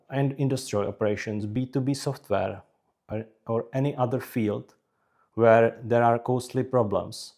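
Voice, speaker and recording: A man from the Czech Republic with a Czech accent, narrating scripted career advice in measured, deliberate short phrases. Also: medium pitch, vocal fry, mellow. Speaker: career advice video creator.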